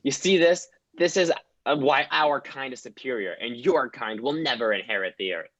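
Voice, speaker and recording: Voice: medium pitch, moderate pace, fairly bright tone, lo-fi sound, close to an everyday voice. A performer voicing a smug, gloating human who lords it over dogs in a comedy radio play. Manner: gloating, mock-villainous.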